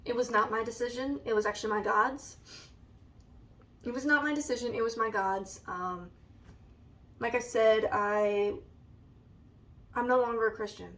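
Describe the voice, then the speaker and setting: A woman with a raspy, allergy-hoarse voice, slowly and hesitantly showing a scrapbook in a casual vlog. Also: fairly high pitch, fairly even intonation, a bit bright, recorded from a distance. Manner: slow and hesitant, many ums. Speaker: vlogger. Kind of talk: casual show-and-tell vlog.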